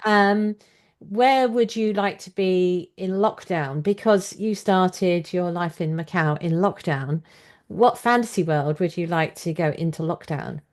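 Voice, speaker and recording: A woman's voice: lowish voice, measured pace. A woman hosting a conversational interview in a chatty, informal way. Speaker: podcast host and interviewer.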